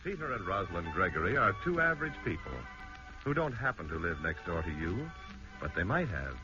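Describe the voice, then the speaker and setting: A man's voice: low voice, sing-song, brisk. A man narrating a radio drama from a script in a 1940s broadcast style. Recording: muffled old radio broadcast, music behind.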